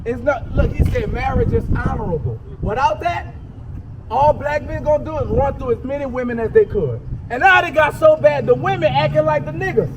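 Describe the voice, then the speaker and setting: A man's voice: very high voice, brisk. A man from the United States preaching on the street in an emphatic, colloquial way, checking in with his listeners as he goes. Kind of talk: street sermon with call-and-response to a reader and bystanders. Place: outdoors on a city street. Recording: distant mic, clear outdoor traffic noise.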